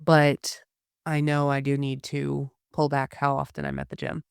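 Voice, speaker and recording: Hoarse woman, flat candid update. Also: rumbling low, measured pace, no room sound, studio quality. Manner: candid, hesitant with restarts. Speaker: podcast host. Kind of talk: casual personal update.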